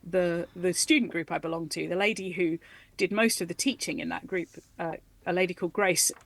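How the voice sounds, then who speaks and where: Eager, animated woman in her forties. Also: fairly low pitch, fairly quick, no echo. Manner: animated, enthusiastic, laughs easily. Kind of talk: radio interview, conversational. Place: radio studio.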